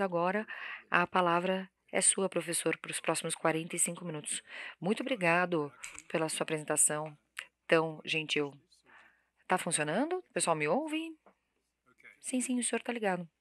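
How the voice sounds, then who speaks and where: A woman giving live interpretation of a lecture, halting and often correcting herself mid-word. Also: low voice, a bit bright. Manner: halting, with frequent self-corrections and restarts. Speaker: simultaneous interpreter.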